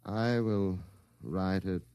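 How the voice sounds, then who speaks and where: An actor playing a cool, formal male Martian client in a radio drama, with precise, measured diction. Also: low voice, steady tone, mellow, clean tone, no room sound. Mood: assured, businesslike. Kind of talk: 1950s radio drama acting.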